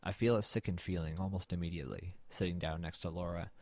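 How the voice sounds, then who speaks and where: Man playing an uneasy, deep-voiced horror narrator. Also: steady tone, fast, darkish tone, no room sound. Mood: tense. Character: uneasy male narrator exploring a dead man's house. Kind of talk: first-person horror audio drama narration.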